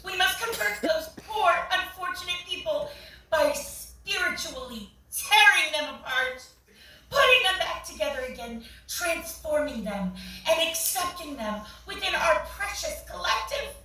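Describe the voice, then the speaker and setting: Casting: actress as prim religious zealot, earnest, preachy, bright high voice, stage play. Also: female voice, big pitch swings, unhurried, exaggerated voice. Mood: earnest, zealous. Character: pious, zealous churchgoing woman, primly fanatical about purity. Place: small theatre, staged reading. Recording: echoey theatre, far mic, faint noise.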